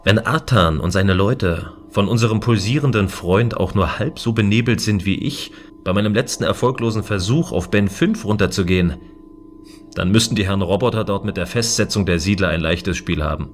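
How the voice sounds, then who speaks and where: A man plays a male guardian on a space station, gentle and dutiful. His low voice explains things earnestly and considerately, with music underneath in a sci-fi radio play. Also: moderate pace, natural voice. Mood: musing. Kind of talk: science fiction audio drama acting.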